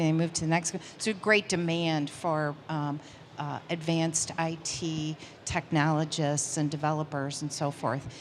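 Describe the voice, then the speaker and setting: A woman's voice: low voice, a little hiss or hum. Effusive sixty-year-old woman moderating. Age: 60s. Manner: effusive, hesitant with many fillers. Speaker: panel moderator. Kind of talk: conference panel discussion.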